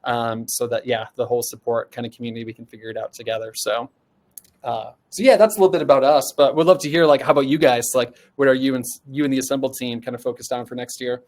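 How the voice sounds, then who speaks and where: A man's voice: medium pitch, steady tone, fast, a little mellow. A man speaking as an expert guest in a casual webinar discussion, informal and conversational, often posing questions as he thinks. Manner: informal, conversational, poses rhetorical questions, frequent "like". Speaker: guest panelist, customer support expert at a software company.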